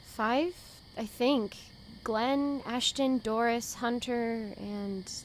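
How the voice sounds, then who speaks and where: A fairly high-voiced, naive young woman, acted by a woman in an audio drama. She sounds hesitant and earnest, anxious to understand. Faint noise sits behind the voice. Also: fairly even intonation, drawn-out words, low-quality recording. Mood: confused. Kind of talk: fan audio drama acting.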